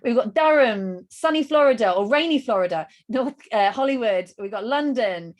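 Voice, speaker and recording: A middle-aged woman hosting a live webinar over a video call, enthusiastic and gushing as she rattles off quick lists. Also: fairly high pitch, lively intonation. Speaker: webinar host.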